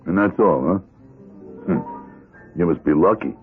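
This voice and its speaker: A narrow, tinny radio play recording with faint music. a middle-aged American ex-convict with an extremely low voice. He is world-weary and guarded, answering in short, blunt, sombre phrases. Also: a little slow. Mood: wary. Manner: terse, flat-worded, guarded, world-weary. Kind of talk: old-time radio drama acting. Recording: band-limited radio drama with music.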